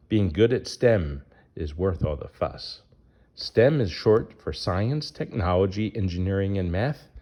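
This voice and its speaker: A man's voice: fairly low pitch, warm tone. A man reading a rhyming children's picture book aloud in a sing-song way, putting questions to young listeners.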